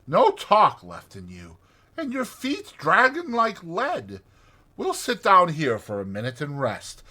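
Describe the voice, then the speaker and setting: Man doing a kindly, high-pitched storybook rat gentleman. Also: wildly swooping pitch, slow, full character voice. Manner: solicitous, old-fashioned chummy address. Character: kindly, chummy, gentlemanly male water rat. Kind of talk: dramatized storybook reading.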